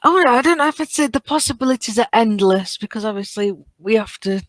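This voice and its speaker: A woman chatting casually as a podcast panellist, choosing her words in a hesitant, measured way. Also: a little high, animated, dry recording, studio quality.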